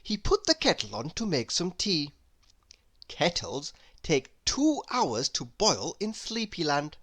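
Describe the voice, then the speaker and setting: Slow, lilting woman reading a children's story. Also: on the low side. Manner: expressive, unhurried storytelling. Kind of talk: children's read-aloud narration.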